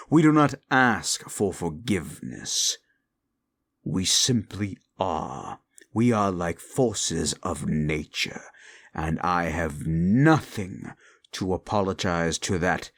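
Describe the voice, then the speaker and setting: A man's voice: no room sound, full character voice. A man voicing a scornful male demon in an audio roleplay, taunting and mocking the listener with a profane tongue. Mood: incredulous mocking. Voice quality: deep and gravelly.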